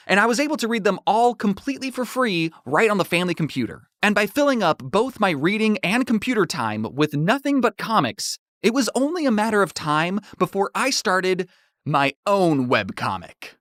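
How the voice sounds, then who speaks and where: A man narrating his own comedic story in an animated, playfully sarcastic way, like a scripted online video. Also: high-pitched, dry recording, clean studio sound.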